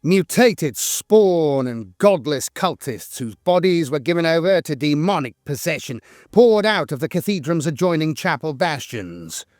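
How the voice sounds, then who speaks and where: A man reading dramatic scripted war lore in a solemn, measured manner, as a channel narrator close to the microphone. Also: on the high side, sing-song, a little mellow, no echo.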